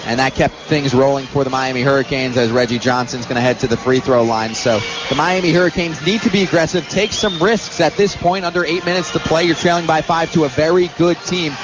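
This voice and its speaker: A man's voice: a little high, a little fast, few pauses. A man giving emphatic, instructive color commentary on a live basketball radio broadcast. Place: live from a college basketball arena. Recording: noisy arena radio broadcast.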